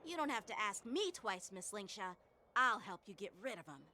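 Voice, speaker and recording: A woman's voice: mid-range voice, big pitch swings, normal speed, sharp tone, slight background noise. A woman voicing an earnest, indignant young boy swordsman in an anime game, formal but heated. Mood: dutiful.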